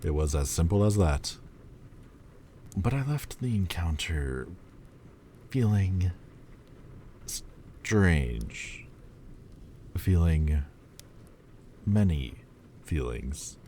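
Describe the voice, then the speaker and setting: A man voicing the male Lord of Demons in an audio roleplay, teasing and playful, turning halting and hesitant. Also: low-pitched, animated, mellow, a little hiss or hum, exaggerated voice. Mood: wistful. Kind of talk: listener-directed audio roleplay.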